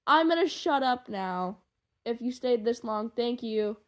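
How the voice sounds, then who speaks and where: High-voiced young woman, gushing and excitable. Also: a little slow. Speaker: podfic creator and fan fiction writer. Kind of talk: casual rambling chat to listeners.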